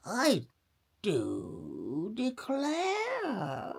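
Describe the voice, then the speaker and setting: A woman voicing a gentle old grandmother in a storybook, murmuring and marvelling. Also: mid-range voice, wildly swooping pitch, very slow, creaky, breathy edge, exaggerated voice. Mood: amazed.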